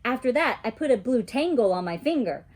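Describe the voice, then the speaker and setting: A woman reads a children's story aloud as a teacher would. Her delivery is expressive, with animated pitch movement and a slightly husky voice. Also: brisk.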